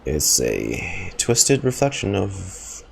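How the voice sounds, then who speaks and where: Low-voiced male streamer, exasperated. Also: wildly swooping pitch, slow, vocal fry, a crowd in the background. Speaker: streamer reacting to a game. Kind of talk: casual reaction commentary.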